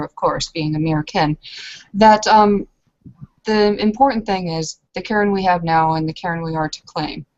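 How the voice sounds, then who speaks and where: A woman with a fairly low voice improvises in character in a roleplaying game. Her phrasing is deliberate and affectedly humble. The recording sounds slightly dull and lo-fi. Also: a little mellow. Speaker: tabletop role-player.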